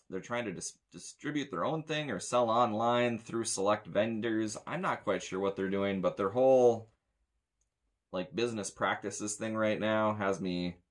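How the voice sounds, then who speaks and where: An American man in his thirties giving a casual, rambling gear review as a sound tech, blunt and grumbling. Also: lowish voice. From United States. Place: small indoor room with metal shelving.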